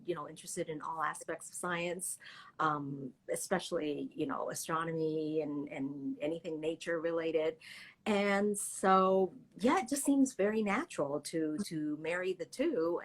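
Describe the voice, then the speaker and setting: A middle-aged woman whose first language is Cantonese tells her story with animated energy and frequent laughter. Her voice is slightly husky and swoops through a wide, lively pitch range. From Canada. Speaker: children's book author. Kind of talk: casual live interview chat.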